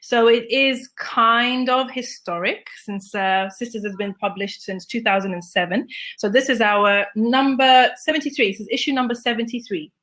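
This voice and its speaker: A woman hosting a casual chat, upbeat and playful, giving shout-outs to her guest. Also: a little high, normal speed. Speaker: magazine editor hosting a video chat.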